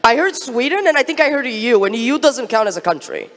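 A woman's voice: on the high side, very animated, fast, fairly bright tone. A woman in her thirties from Portugal, co-hosting a conference on stage with a handheld mic, trading sarcastic jokes. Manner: sarcastic, playful banter. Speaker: conference co-host. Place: large conference hall stage. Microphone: handheld microphone. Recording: long hall reverb.

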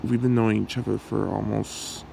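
A man plays a shy, lovestruck young guy in an ASMR roleplay. His voice is low and heavily creaky, and he talks in a halting, awkward way. A faint hum of noise sits behind him. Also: flat delivery, dark tone. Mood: earnest, tender. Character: shy young man, secretly a werewolf, lovestruck best friend.

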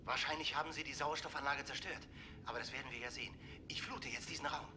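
An actor playing a determined, middle-aged astronaut in a 1960s German television science fiction drama, urgent and often breathy. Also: male voice, mid-range voice, quick pace, noisy background, lightly acted. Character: grim, determined male astronaut trapped on an overrun station. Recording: 1960s TV soundtrack with loud music.

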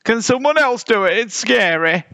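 Man voicing a timid, whiny high-voiced bear. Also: expressive, takes it slowly, bright, forceful tone, exaggerated voice. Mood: scared, whining. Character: timid, whining big-nosed brown bear.

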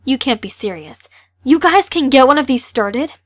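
A woman's voice: high-pitched, animated, moderate pace. A young woman playing an outspoken, sarcastic teenage girl in an amateur audio drama. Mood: reproachful.

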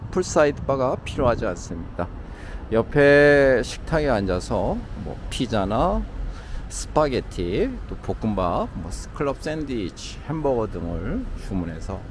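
A man narrating a casual walking tour of a city hotel's outdoor pool, with lots of filler words, recorded on a camera mic outdoors. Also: medium pitch. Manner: conversational, frequent "자" and "뭐" fillers. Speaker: travel vlogger touring a hotel. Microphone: handheld camera mic. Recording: outdoor camera audio with traffic noise. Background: city traffic ambience.

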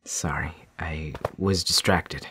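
A man playing a brooding young amnesiac fighter in a comic dub, introspective and subdued, with loud noise behind. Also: very deep voice, steady tone, takes it slowly, heavily acted. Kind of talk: dramatic comic dub acting.

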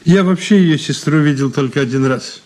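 A man's voice: on the high side, a little fast, a little mellow, close to an everyday voice. An actor playing a shrewd, ironic old man under questioning in a 1980s Soviet radio play, courteous and condescending. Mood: guarded, dry. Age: older. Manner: courteous, sly, condescending endearments.

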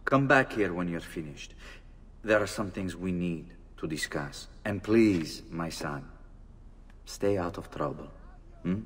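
A man playing a composed, middle-aged father in a video game, measured and authoritative. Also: deep voice, expressive, a bit bright, big-room sound. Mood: firm, fatherly. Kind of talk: dramatic video game acting.

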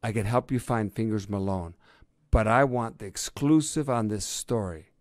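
A man's voice: on the low side, normal speed, no echo, clean studio sound, lightly acted. A man voicing an eager, insistent fox newspaper reporter in a storybook tale. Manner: insistent, excitable.

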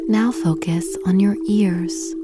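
A woman guiding a meditation slowly and soothingly with long pauses, her soft voice turning breathy at phrase ends. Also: medium pitch, fairly even intonation, loud music behind the voice. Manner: slow, soothing, gentle instruction with long pauses. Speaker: meditation guide. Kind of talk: guided meditation.

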